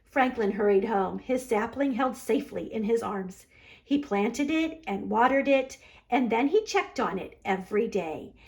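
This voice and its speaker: A woman's voice: a little high, lively intonation, unhurried, darkish tone. A woman library storyteller narrating a children's storybook aloud.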